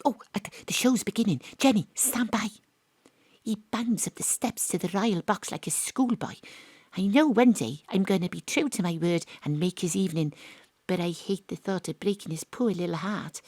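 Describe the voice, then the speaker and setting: An actress playing a wry, cocky woman detective who narrates her case in a deadpan, confiding audio drama style. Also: medium pitch, normal speed, husky, no room sound, close to an everyday voice. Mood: conspiratorial.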